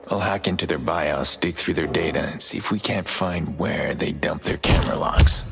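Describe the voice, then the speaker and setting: A gruff middle-aged vigilante hacker from a video game. His voice is extremely deep and full of gravel, breathy at the edges. He speaks tersely and broodingly, guarded and regretful, over clearly audible music. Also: moderate pace. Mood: gruff, alert. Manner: terse, low-key, brooding. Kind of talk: dramatic game cutscene acting. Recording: music behind the voice.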